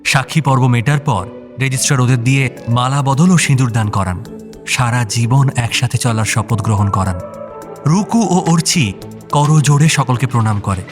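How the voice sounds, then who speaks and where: A man's voice: medium pitch, moderate pace, over music. A man narrating a scripted audio story.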